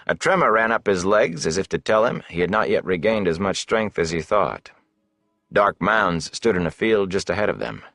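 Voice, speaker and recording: Deep-voiced male fantasy audiobook narrator. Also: animated, moderate pace, creaky, a bit bright, no room sound, studio quality. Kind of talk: scripted narration of a fantasy novel.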